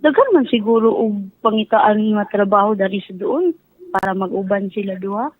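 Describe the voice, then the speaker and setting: A woman's voice: medium pitch, moderate pace. A Filipino woman with a Cebuano accent calling in by phone, giving her opinions casually and deferentially. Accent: Filipino, Cebuano-speaking. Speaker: phone-in caller. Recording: dry, muffled phone call over faint music.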